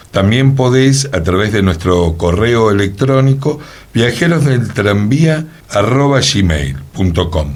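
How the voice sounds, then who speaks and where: A man's voice: lowish voice, darkish tone. A man with a Buenos Aires accent presenting a radio show in a chatty, storytelling way. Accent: Argentine, Buenos Aires. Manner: chatty, storytelling, poses questions to co-host. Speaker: radio host. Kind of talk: conversational radio presenting about theatre history.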